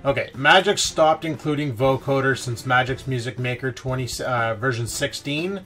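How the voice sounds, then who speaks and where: A man with a mid-range voice walks through a piece of software as a tutorial host. His delivery is informal and slangy, with some mild profanity. Some music is present in the recording. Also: medium pitch, normal speed. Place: indoor voice-over of a screen recording.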